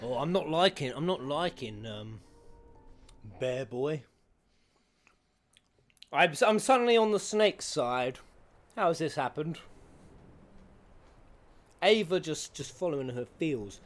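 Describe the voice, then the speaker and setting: A man's voice: on the high side, lively intonation, takes it slowly, pauses often, soft background music. A man giving casual, playful reaction commentary to game cutscenes in short interjections.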